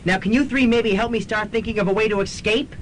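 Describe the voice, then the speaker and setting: A man's voice: high voice, fast talker, a bit bright. A man playing an earnest, exasperated young college student in a comic radio drama. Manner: earnest, awkward, sometimes sarcastic. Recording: slightly noisy student radio recording.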